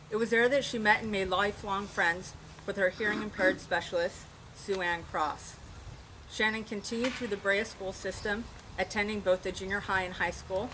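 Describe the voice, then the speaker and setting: A woman's voice: mid-range voice, fairly bright tone. A woman reading a memorial life history aloud as a eulogist. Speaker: eulogist, friend of the deceased. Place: outdoors at a park. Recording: noisy outdoor event recording.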